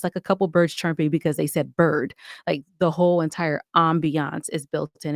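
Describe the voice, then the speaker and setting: A woman's voice: low voice, a little mellow, no room sound, studio quality. A woman giving talkative, explanatory answers as a guest in a conversational podcast interview. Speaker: podcast guest, audio drama creator.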